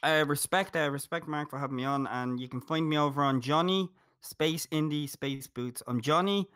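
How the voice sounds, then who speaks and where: A man's voice: on the high side, moderate pace, fairly bright tone, clear, resonant tone, no room sound, studio quality. An Irish man talking as a guest in a casual podcast chat, joking and emphatic. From Ireland.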